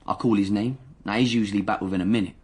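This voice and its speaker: A young gang member in a radio drama interrogation. His voice is low and husky. He talks in streetwise slang, cocky and indignant, always justifying himself. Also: steady tone, rapid-fire. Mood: self-justifying. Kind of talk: naturalistic radio drama dialogue.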